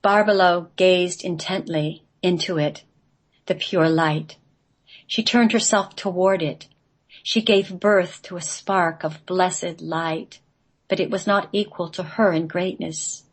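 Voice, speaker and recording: A woman reading radio play narration in a measured, solemn manner. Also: lowish voice, steady tone, breathy edge. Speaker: guest, playwright reading own play.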